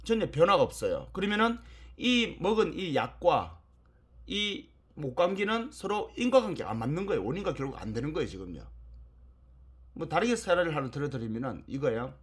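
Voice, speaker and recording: A man's voice: fairly high pitch, big pitch swings, a little fast, slightly rough. A man lecturing in an explanatory, emphatic way, repeating key points and asking rhetorical questions.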